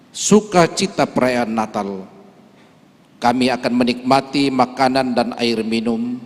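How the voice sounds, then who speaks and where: A man from Toraja, a church leader, giving an earnest speech and then a solemn prayer at a Christmas service. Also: mid-range voice. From Toraja, Indonesia. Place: large church hall. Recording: long hall reverb.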